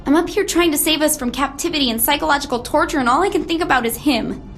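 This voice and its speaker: An actress playing a self-important, put-upon genius ex-agent with a plaintive manner in a scripted video game monologue. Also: female voice, high voice, fast, a bit bright. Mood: wistful, lovelorn. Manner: indignant, plaintive, pompous. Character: exasperated, self-important conspiracy-minded woman genius, former agent. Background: music behind the voice.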